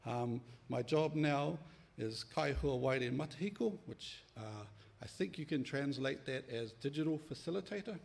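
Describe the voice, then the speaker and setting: A middle-aged man from New Zealand speaks with a New Zealand accent, his voice gravelly and edged with fry. He presents a conference workshop in an easy, unhurried manner, often pausing on "um" and "uh". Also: mid-range voice. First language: Māori. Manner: relaxed, friendly, with many ums and uhs. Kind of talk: conference talk.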